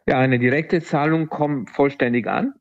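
A man's voice: mid-range voice, moderate pace, no room sound, lo-fi sound. Hesitant man with an Italian accent. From Italy. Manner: hesitant, many filler sounds. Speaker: economist guest. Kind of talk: interview answers.

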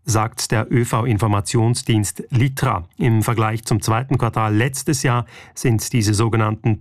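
A man's voice: fairly low pitch, no room sound. Radio news presenter, male, scripted delivery, very dry studio acoustic.

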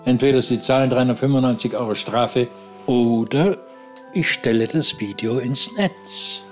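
An actor playing a stern, condescending official who interrogates and fines a hiker in a dry tone. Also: male voice, medium pitch, normal speed, soft background music, natural voice. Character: stern, smug male nature-reserve enforcer.

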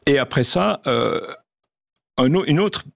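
Gravelly older man explaining geology. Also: medium pitch, animated, slow pace, dry recording. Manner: hesitant, many restarts and fillers. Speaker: guest geologist and oceanographer. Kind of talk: radio interview, casual explanation.

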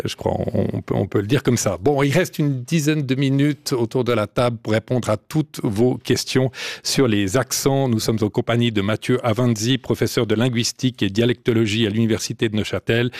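A man hosts a talk show from the radio studio, introducing guests and taking calls. His voice sits at a middle pitch, recorded close and dry. He stumbles a little over his words as he goes. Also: a little mellow, dry recording. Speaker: radio host. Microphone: studio microphone.

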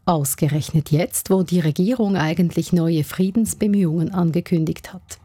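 A woman's voice: fairly low pitch, normal speed, mellow, breathy edge, no room sound. A woman presenting a news programme, reading scripted introductions.

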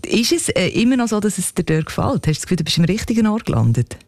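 A woman's voice: medium pitch, big pitch swings, quick pace, a little mellow, dry recording, clean studio sound. A woman, conducting a conversational interview.

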